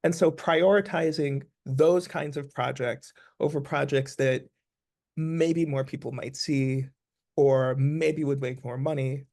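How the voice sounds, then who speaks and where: A man's voice: mid-range voice, fairly even intonation, slow pace, gravelly, darkish tone. An American man, an actor being interviewed, talking reflectively and restating his points with emphasis. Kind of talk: conversational interview.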